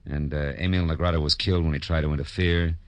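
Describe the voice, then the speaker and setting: An actor playing a tough, world-weary private detective in a 1950s radio noir drama, clipped and wry. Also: male voice, a bass voice, fairly even intonation, quick pace, no room sound. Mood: terse, guarded.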